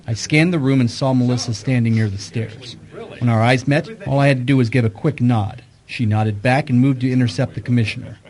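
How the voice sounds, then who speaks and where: Casting: male actor as unflappable private eye, medium pitch, dry wry narrator of a radio mystery. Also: fairly even intonation, a little fast, a little mellow, close to an everyday voice. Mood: dry, narrating. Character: wry, cool-headed male private detective narrating his case. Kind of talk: first-person detective narration and dialogue in audio drama.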